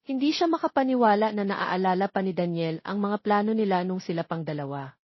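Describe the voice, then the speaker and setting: A woman's voice: mid-range voice, expressive, fairly quick, no echo. A woman with a Filipino accent reading a scripted romance story as an audiobook narrator. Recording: slightly dull, lo-fi recording.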